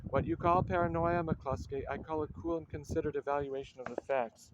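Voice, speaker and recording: A man's voice: on the high side, steady tone, a little fast, highs cut off, very noisy, close to an everyday voice. An actor playing a wry, pedantic man, delivering dry, clipped lines and hardboiled pastiche narration. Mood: patient. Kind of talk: radio-drama acting with hardboiled pastiche narration.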